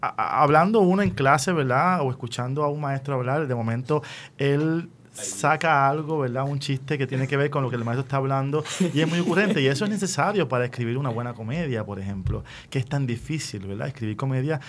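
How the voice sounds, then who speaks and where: A man's voice: mid-range voice, brisk, slight background noise. A man conducting a conversational radio interview in an encouraging, affirming way.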